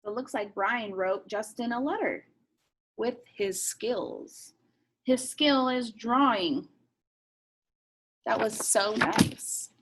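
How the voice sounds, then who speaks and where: A woman's voice: a little high, takes it slowly, pauses often, slight background noise, rough recording. A woman teacher reading a picture book aloud expressively and asking young listeners questions.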